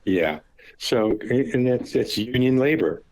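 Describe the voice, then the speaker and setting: A man in his seventies on a phone call, recalling the family business at an unhurried pace, often repeating words and restarting as he remembers. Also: mid-range voice, animated, darkish tone. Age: 70s. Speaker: father recounting family business.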